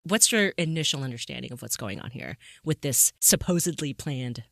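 A woman's voice: a bass voice, expressive, normal speed, vocal fry, fairly bright tone, dry recording, clean studio sound. A woman hosting a podcast in a casual, chatty, amused and informal way. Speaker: podcast host.

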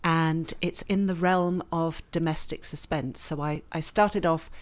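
A middle-aged English woman with a somewhat low voice talks as an author guest. She is wry and self-deprecating and laughs easily. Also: fairly even intonation, unhurried. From England, raised in Switzerland. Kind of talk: casual podcast interview.

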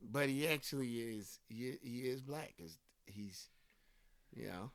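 A man with a Delco Philadelphia accent talks loosely in a casual book-club chat. He tells animated stories full of fillers and the odd swear word, and his voice sits in an ordinary middle register. The sound is dry and close, with no room around it. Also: medium pitch, measured pace, no room sound. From Philadelphia area. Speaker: podcast co-host.

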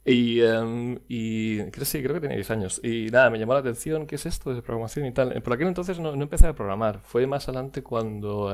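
A man's voice: medium pitch, darkish tone. A man, a guest in a casual interview, talking with many fillers and restarts. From Spain.

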